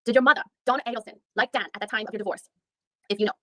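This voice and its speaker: A woman's voice: a little high, fairly even intonation, rapid-fire, pushed and bright, no room sound. A woman reading the part of a female attorney who questions a witness methodically, with frequent restarts. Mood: probing. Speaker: reader in a deposition reenactment. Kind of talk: read-aloud legal reenactment.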